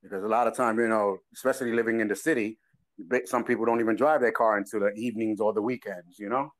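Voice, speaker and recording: A man's voice: fairly low pitch, quick pace. A man in his twenties with a New York accent, co-hosting a casual podcast chat and telling stories in which he quotes people with laughs. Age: 20s. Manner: storytelling, quoting people with animated laughs. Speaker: podcast co-host.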